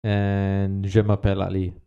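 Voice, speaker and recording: Playful man with a smooth voice. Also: deep voice, monotone, normal speed, narrow, tinny audio. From Canada. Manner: playful, joking, prone to putting on voices. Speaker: podcast co-host. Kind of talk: casual chat.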